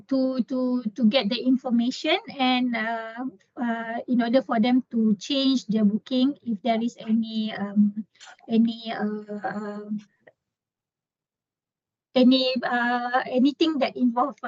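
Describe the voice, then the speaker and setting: A woman explaining a work procedure in an informal briefing, halting often as she searches for words. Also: fairly high pitch, fairly even intonation, a little mellow, no room sound, lo-fi sound. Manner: halting, explanatory, with frequent hesitations. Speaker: trainer presenting a staff procedure.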